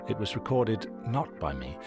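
Male radio host, deep register, reading scripted introductions to an audio documentary over a clear music bed. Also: big pitch swings, normal speed. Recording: music behind the voice.

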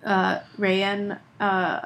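A woman's voice: mid-range voice, completely flat delivery, extremely slow, vocal fry, a bit bright. A woman co-hosting a casual chat show, with dry, teasing replies and hesitant filler words. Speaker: podcast co-host.

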